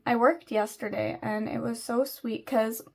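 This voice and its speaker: A young woman with a slightly raspy voice, chatting casually and rambling with lots of fillers while she does her makeup. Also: on the high side, fairly even intonation, unhurried. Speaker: beauty vlogger.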